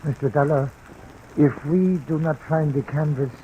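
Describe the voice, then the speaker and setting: An actor playing an anxious, emotional middle-aged gallery owner, pleading and halting in an old radio drama. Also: male voice, a little high, highs cut off, natural voice. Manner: halting, pleading, stilted phrasing. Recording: noisy vintage radio recording.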